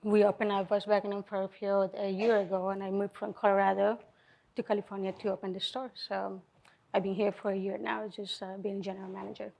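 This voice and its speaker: A middle-aged woman with a Colombian Spanish accent tells her career story on a panel in a flat, level tone. She talks steadily into a handheld microphone, with many fillers. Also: mid-range voice, a little fast, vocal fry, background noise. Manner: plain, steady, with frequent fillers. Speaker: panelist, general manager. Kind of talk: panel talk. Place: event venue.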